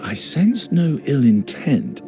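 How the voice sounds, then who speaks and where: A man's voice: medium pitch, wildly swooping pitch, takes it slowly, darkish tone, faint music behind, exaggerated voice. An actor playing an earnest, devout male priest with a formal, solemn way of speaking, in a video game. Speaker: priest of the Order.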